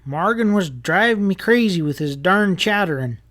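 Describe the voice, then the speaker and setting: A man's voice: high-pitched, expressive, moderate pace, a bit bright, voice pushed up. Man acting a desperate jailed western villain. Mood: defensive, shaken. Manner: urgent, dogged, exclaiming.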